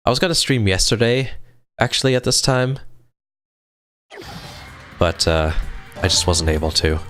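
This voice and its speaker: Deep-voiced young man streaming games. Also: measured pace. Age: 20s. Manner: relaxed, reads chat aloud and chuckles. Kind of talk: casual live game stream chat. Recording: game music playing behind the voice.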